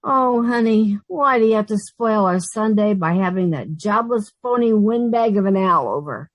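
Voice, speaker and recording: Woman acting as sardonic secretary roommate, medium pitch, close dry sound, fond but fed up, radio comedy. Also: lively intonation, normal speed, dry recording, highs cut off, close to an everyday voice. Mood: sardonic, exasperated. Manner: wry, sardonic quips. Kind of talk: old-time radio sitcom acting.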